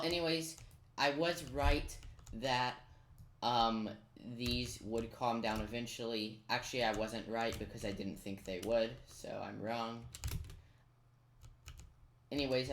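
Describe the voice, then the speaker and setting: A chatty commentator talks through a video game guide in a casual, self-deprecating way, as if thinking aloud. The voice sits at a middle pitch. It is recorded in an echoey room with faint noise and keyboard clicking behind it. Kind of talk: casual gameplay tutorial commentary. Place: indoors in a roomy-sounding room at a computer. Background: keyboard typing and clicks.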